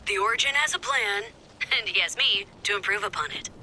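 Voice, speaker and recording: Over loud music comes an actress as a self-assured female resistance fighter from a video game. She gives mission briefings in a mid-pitched voice, cool and commanding, with a dry, wry edge. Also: animated, brisk, natural voice, poor audio. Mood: confident, dry.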